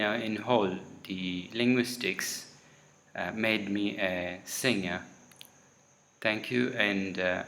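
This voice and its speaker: A man whose first language is Tamil, giving a recorded solo talk in a halting way, often pausing to search for words mid-sentence. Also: lowish voice, a bit bright.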